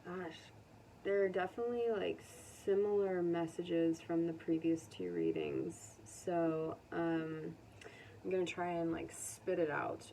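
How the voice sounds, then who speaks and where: An American woman giving a casual, unscripted tarot reading to viewers, conversational and musing, pausing to think aloud. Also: fairly low pitch, lively intonation, dark tone. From United States. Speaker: tarot reader. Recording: distant mic with faint background noise.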